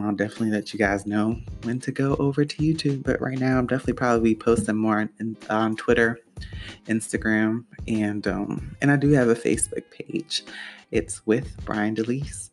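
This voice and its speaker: A man's voice: mid-range voice, moderate pace, faint music behind. A young man hosting his own podcast, talking casually with lots of fillers and restarts in a soothing voice. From Puerto Rico. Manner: rambling, chatty, many fillers and restarts. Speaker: podcast host. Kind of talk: casual solo podcast talk.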